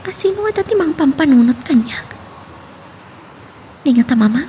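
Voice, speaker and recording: A woman's voice: sits high, animated, normal speed, warm tone, slight background noise. A woman playing a troubled, dutiful young daughter in a radio drama. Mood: pensive.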